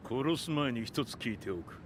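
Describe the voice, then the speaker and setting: A man's voice: mid-range voice, animated, quick pace, slight background noise, natural voice. An actor voicing a ruthless male fighter in a game cutscene, terse and scornful. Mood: cold. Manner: clipped, contemptuous.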